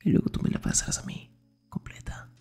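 A man acting a grumpy, jealous, protective young best friend in an intimate Spanish-style ASMR roleplay, breathy and often whispering, defensive and sarcastic. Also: a little fast, dark tone, close to an everyday voice. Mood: tired, hushed.

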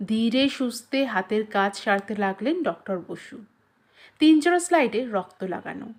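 High-pitched, expressive woman storyteller. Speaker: audio story narrator. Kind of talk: expressive scripted narration of a literary short story.

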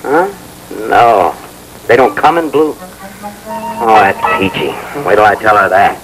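Folksy American telephone installer, old film. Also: male voice, fairly low pitch, sing-song, slow, noisy background. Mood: sheepish. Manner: hesitant, folksy, polite. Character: harried, placating male telephone installer, folksy and apologetic. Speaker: actor in a training film. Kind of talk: 1960s training film acting. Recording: old film soundtrack, music behind.

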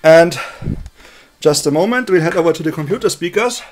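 A man casually presenting a hands-on electronics demonstration in a halting, explanatory way, recorded on a handheld camera in a room at home. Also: fairly high pitch, lively intonation. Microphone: handheld camera held by the speaker.

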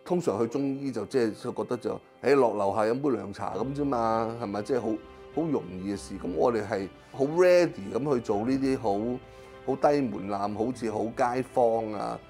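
A middle-aged man with a Hong Kong Cantonese accent, a Chinese medicine practitioner explaining his work in an interview, pausing often with drawn-out fillers. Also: medium pitch, a little mellow, faint music behind. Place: small clinic consulting room.

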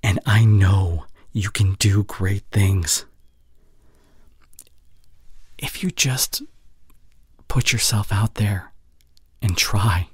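A young man playing a caring, heartbroken boyfriend in an intimate audio roleplay, speaking haltingly and earnestly, often dropping to near whisper. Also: on the low side, fairly even intonation, slightly rough, no echo, clean studio sound, heavily acted. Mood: tender, earnest. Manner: halting, earnest, pausing mid-sentence. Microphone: close studio condenser mic.

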